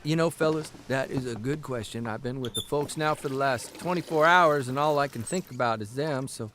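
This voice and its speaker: An actor playing a wry, teasing 1930s newspaper columnist in a podcast radio drama. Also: male voice, medium pitch, fairly quick, fairly bright tone, slight background noise, close to an everyday voice.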